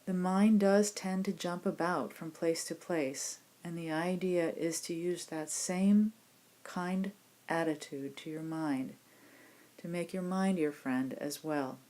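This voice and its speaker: Female meditation teacher, lowish voice, gentle and reassuring, leading a guided relaxation practice. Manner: gentle, unhurried, reassuring instruction. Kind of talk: guided body scan meditation instruction.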